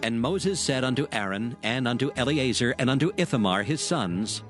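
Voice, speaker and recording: A man narrating scripture from a script in a measured, solemn manner. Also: medium pitch, expressive. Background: music.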